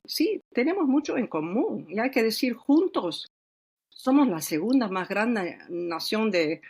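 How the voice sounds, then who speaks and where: An older woman from Peru, an author speaking in a podcast interview, thoughtful and reflective, pausing often to find her words. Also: mid-range voice. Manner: thoughtful, reflective, with frequent hesitations. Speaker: author guest.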